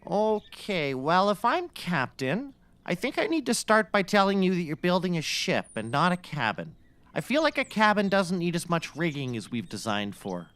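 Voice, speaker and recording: A woman's voice: lowish voice, big pitch swings, normal speed, fairly bright tone, no echo. A woman playing a reasonable, patient sidekick in a scripted comedy, tentative and matter-of-fact. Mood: puzzled, reasonable. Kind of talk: scripted comedy audio acting.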